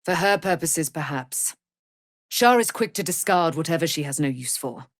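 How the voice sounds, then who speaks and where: A woman's voice: low-pitched, lively intonation, normal speed, no echo, studio quality. A woman playing a proud immortal warrior, daughter of a moon goddess, in theatrical fantasy game style with grandiose, archaic diction. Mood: solemn.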